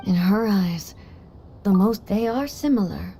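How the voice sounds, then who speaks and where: A woman voicing a composed, regal female deity ruler in a video game, speaking in a measured, formal way. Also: medium pitch, animated, slight background noise. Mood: serene. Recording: game audio with soft music.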